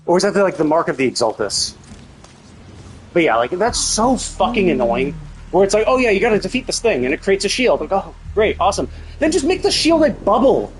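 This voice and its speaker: A man's voice: sits high, sing-song, a little fast, slight background noise, rough recording. A man giving casual, blunt commentary as a live game streamer, talking in a sweary, venting way.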